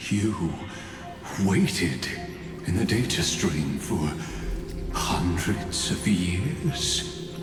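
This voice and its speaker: Very slow, deep ancient undead villain. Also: male voice, very animated, heavily acted. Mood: grudging, pondering. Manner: slow, deliberate, condescending, theatrical pauses. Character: ancient cold calculating undead genius, patient male villain. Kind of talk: dramatic video game voice acting. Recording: echoey long reverb under loud music.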